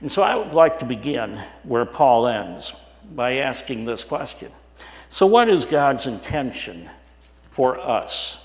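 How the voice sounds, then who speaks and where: Male pastor, middle-aged, raspy and hoarse, chatty halting sermon delivery, roomy echo. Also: medium pitch, sing-song. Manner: conversational with frequent "uh" restarts. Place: church sanctuary. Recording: echoey hall with long reverb.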